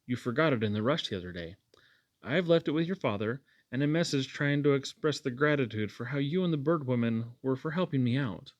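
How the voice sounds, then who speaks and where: A man's voice: mid-range voice, expressive, brisk, no room sound, highs cut off, natural voice. Man reading as a humble, shy young workman. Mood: shy, grateful.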